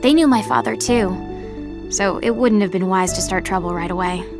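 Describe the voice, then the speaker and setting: A woman playing a sarcastic, dismissive teenage envoy in a game audio drama. She speaks at a middle pitch, with clear music running behind her. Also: moderate pace, lightly acted. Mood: narrating. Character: sharp-tongued teenage girl council envoy. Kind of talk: game audio drama voice acting, with inner narration. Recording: voice over music.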